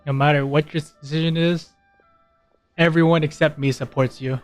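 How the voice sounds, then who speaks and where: A man's voice: a little high, fairly even intonation, normal speed, faint music behind. A man giving casual, joking gameplay commentary with a mock-boastful, irreverent manner. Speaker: gameplay streamer.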